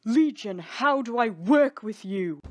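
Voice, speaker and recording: A woman playing a stern, protective lord with a forceful, commanding manner in a dramatic audio story. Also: on the high side, lively intonation, takes it slowly, pitched above the performer's own voice. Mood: indignant outburst. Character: stern wronged lord, man, protective and indignant.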